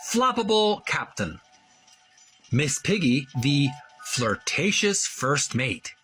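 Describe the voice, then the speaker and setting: A man hosting a retro gaming channel, casually narrating a show-and-tell and often pausing mid-phrase. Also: fairly high pitch, pushed and bright, poor audio.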